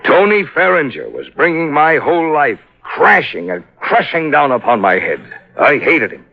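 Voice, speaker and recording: An actor playing a bitter, anguished aging businessman and wronged father in old-time radio melodrama, husky, emphatic and self-important. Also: a little high, big pitch swings, full character voice. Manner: melodramatic, emphatic, indignant, halting for effect. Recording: muffled vintage radio recording.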